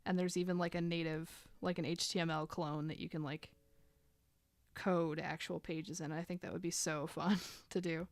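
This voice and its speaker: A woman's voice: fairly low pitch, level tone, normal speed, no echo. A woman in her thirties chatting casually while drawing on a live stream, rambling and filling gaps with "like". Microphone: desk microphone.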